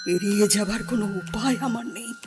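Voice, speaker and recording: An actress playing a grieving widow and schoolteacher torn between longing and suspicion in a radio drama. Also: female voice, medium pitch, brisk, over loud music, natural voice. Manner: anguished, then sharp and indignant.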